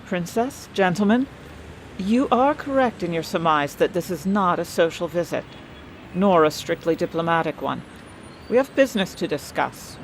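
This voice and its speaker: A woman's voice: on the low side, animated, moderate pace, a little hiss or hum, rough recording. A woman acting in an audio drama as a shrewd, imperious politician with a formal, clipped manner. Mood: cool, businesslike. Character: shrewd, imperious female stateswoman, coolly bargaining.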